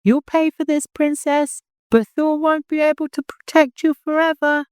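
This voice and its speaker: Narrator putting on high, taunting male villain. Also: level tone, normal speed, lightly acted. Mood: vengeful, taunting. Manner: taunting, shouting. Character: hostile, threatening male villain. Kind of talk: audiobook character acting.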